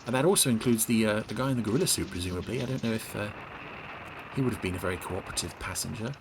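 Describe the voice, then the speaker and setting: A man's voice: fairly low pitch, animated, very fast, background noise. A man giving sardonic, exasperated scene-by-scene commentary on a television episode as a podcast reviewer. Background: TV episode soundtrack with music.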